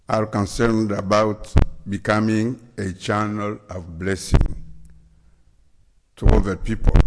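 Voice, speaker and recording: A man from the Democratic Republic of the Congo with a Congolese accent, preaching a sermon as a guest, slow and deliberate. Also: fairly low pitch, noisy background. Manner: slow, deliberate, spells out words. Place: church sanctuary. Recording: church hall reverb.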